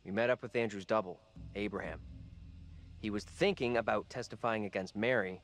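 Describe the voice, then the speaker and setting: A man's voice: fairly low pitch, a little fast, soft background music, close to an everyday voice. An actor playing a pushy young man in a horror game, talking in casual, slangy, urgent phrases. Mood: earnest. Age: young adult. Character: blunt, pushy college-age guy in a horror game. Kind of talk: video game cutscene drama.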